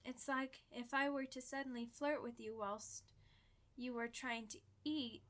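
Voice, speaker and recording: Genteel immortal vampire lady, played by a woman, ASMR roleplay interviewee: high voice, polite, chatty, quietly proud. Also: steady tone, unhurried. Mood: candid, matter-of-fact. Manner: genteel, conversational, slightly formal phrasing.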